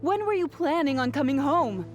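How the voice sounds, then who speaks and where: A woman's voice: high voice, lively intonation, normal speed, a little hiss or hum. A woman acting as an exasperated, chiding mother in a video game.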